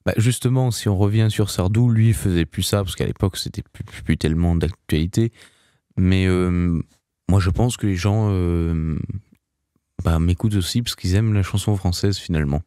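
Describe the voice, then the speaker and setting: Young man, very low voice, halting answers. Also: dark tone, clean tone, no room sound, clean studio sound. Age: young adult. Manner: hesitant, many restarts and fillers. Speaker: singer, interview guest. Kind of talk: casual radio interview answers.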